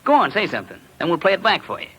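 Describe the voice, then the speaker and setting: A man's voice: high-pitched, wildly swooping pitch, racing, creaky, fairly bright tone, highs cut off, full character voice. An actor playing a dim, chatty small-time gangster henchman in a vintage radio crime drama, slangy and simple-minded. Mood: cocky, amused. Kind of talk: 1940s-50s radio crime drama acting. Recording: noisy vintage radio recording.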